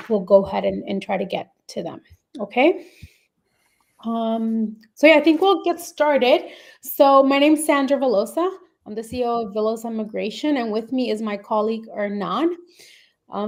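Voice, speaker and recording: A woman's voice: a little high, darkish tone. A woman running her own immigration firm, hosting a live webinar and explaining programs in a friendly way, with frequent fillers and restarts.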